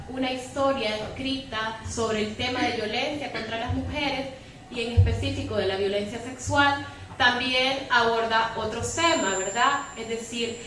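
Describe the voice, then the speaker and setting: A woman speaking off the cuff on a panel, explaining with emphasis and frequent tag questions. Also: a little high. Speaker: panelist, women's rights advocate. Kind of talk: extemporaneous panel commentary. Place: public debate room with audience. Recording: very echoey hall, distant mic, faint noise.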